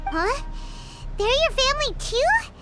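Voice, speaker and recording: A woman voicing a tiny, childlike floating fairy companion in a video game, squeaky, naive and excitable. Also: slow, over music, exaggerated voice. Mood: startled, excited.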